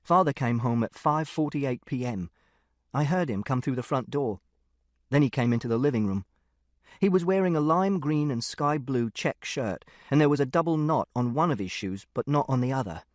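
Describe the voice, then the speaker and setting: A man's voice: mid-range voice, animated, normal speed, no echo, studio quality, lightly acted. A man voicing an earnest, literal-minded teenage boy who narrates an audiobook in a precise, matter-of-fact way. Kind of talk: first-person audiobook narration.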